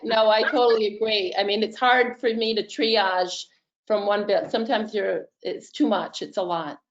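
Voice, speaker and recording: A woman's voice: mid-range voice, fairly even intonation, normal speed. Frank, hesitant woman on dull call. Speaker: school nurse on a panel. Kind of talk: casual discussion in an online meeting. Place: online meeting call. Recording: dull online meeting audio.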